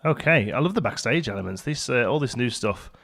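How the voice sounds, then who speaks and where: A British man with a middling pitch gives casual gaming commentary. He chats wryly and thinks out loud as he goes, in a let's play host's easy style. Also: animated, brisk, gravelly. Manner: chatty, wry, thinking aloud. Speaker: gaming video host.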